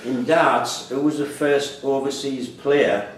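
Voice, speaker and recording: A man's voice: mid-range voice, steady tone, takes it slowly. Echoey, distant male quiz master. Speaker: quiz master reading questions. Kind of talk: live quiz question reading. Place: indoor room at a quiz tournament. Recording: echoey room, distant mic, faint noise.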